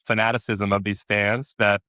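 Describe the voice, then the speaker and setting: A man chatting casually as an interview guest, telling stories in an animated way, repeating words for emphasis and acting out quotes. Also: lowish voice, moderate pace, no echo. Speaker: actor being interviewed.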